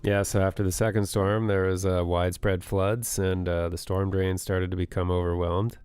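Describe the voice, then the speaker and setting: A man's voice: low-pitched, level tone, normal speed, darkish tone, smooth, no room sound, studio quality. A man co-hosting a podcast in casual chat, laying out storm figures with many fillers, his voice a bit worn. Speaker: podcast co-host.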